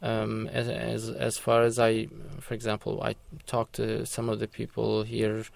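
A man's voice: fairly low pitch, completely flat delivery, measured pace, creaky, dry recording. A man answering a caller's question as a guest on a call-in radio show, hesitant and full of ums.

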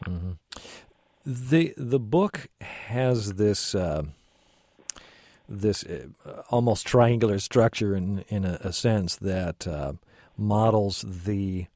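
A man hosts a radio call-in show from a studio, with a dark, mellow voice and a dry, close sound. He asks his questions hesitantly and fills them with ums and restarts. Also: on the low side, takes it slowly, no room sound. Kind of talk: radio interview.

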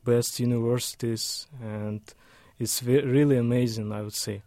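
Radio guest, male, measured and flat delivery, hesitant English. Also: mid-range voice, dry recording. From Kazakhstan. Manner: hesitant, careful second-language English. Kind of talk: call-in radio interview.

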